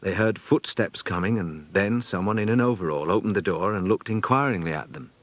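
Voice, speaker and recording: A man's voice: low voice, a little fast, dry recording. A man with a British accent narrating a children's story from a script as an audiobook reader.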